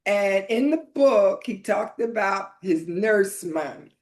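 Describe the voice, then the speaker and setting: A middle-aged or older woman hosting a podcast, talking informally and haltingly as she introduces and interviews a guest. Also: mid-range voice, expressive. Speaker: podcast host.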